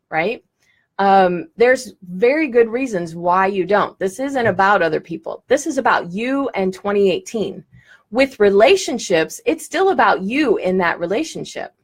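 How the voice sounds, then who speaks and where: Woman teacher, live stream, direct and enthusiastic, occasional laughs, webcam mic. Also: a little high. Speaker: law of attraction teacher.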